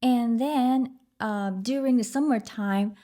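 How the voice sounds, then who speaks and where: A woman's voice: fairly high pitch, warm tone. A woman from Vietnam with a Vietnamese accent, speaking English as a podcast guest, chatting casually with fillers and restarts. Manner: unhurried, with fillers and restarts, laughs often. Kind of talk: casual interview chat.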